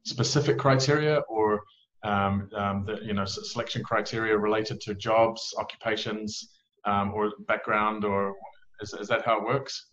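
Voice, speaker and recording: Middle-aged man, interview host, measured questions, low voice, office webcam, dull audio. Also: fairly even intonation, fairly bright tone. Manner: measured, asks follow-up questions, fills in with short nods. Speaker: interview host, immigration specialist. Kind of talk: expert interview over video call. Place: office indoors. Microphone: video call webcam. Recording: dull lo-fi call audio with faint noise.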